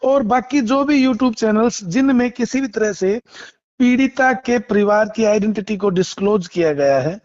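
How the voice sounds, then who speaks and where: A man speaking as a lawyer in a phone interview, explaining a case in halting, repeated phrases with polite acknowledgements. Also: high-pitched, darkish tone. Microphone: phone call. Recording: muffled, lo-fi phone call recording.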